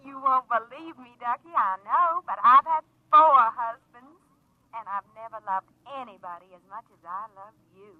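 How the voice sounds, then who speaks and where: Purring femme fatale on the phone. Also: female voice, on the high side, expressive, normal speed, no room sound. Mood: flirtatious. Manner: coy, purring, breathy endearments. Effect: telephone filter. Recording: tinny 1950s radio drama phone voice.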